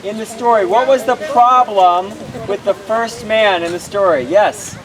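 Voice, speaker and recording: A man's voice: a little slow, a crowd in the background. A man speaking as pastor and emcee, quizzing children at an outdoor puppet show, repeating their answers and checking with short questions. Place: outdoors at an open-air show. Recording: noisy outdoor recording with traffic rumble.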